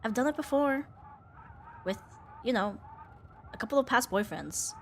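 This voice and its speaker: Young tomboy roommate played by a woman for ASMR romance: fond, flustered, teasing and halting, with very mobile intonation over light ambient noise. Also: fairly high pitch, lively intonation. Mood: flustered, coaxing. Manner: hesitant, teasing, breathy sighs and nervous laughs. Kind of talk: intimate ASMR romance roleplay.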